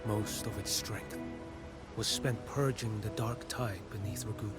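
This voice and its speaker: An actor playing a grave relic bearer in a fantasy game cutscene, solemn in manner. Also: male voice, on the low side, steady tone, unhurried, natural voice. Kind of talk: voiced game cutscene dialogue. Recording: loud music behind voice.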